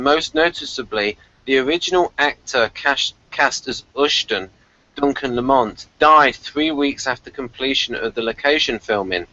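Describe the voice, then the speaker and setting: A man's voice: mid-range voice, sharp tone. A man hosting a review podcast, chatting casually. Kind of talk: casual chat. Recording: dull, lo-fi voice recording.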